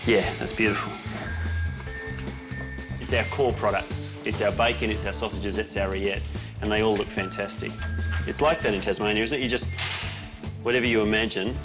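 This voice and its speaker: A man's voice: fairly low pitch, steady tone, moderate pace, long pauses, music in the background. A man in his forties chatting at an outdoor market stall, enthusiastic and self-deprecating. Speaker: farmer selling at a market stall. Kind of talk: casual on-location chat.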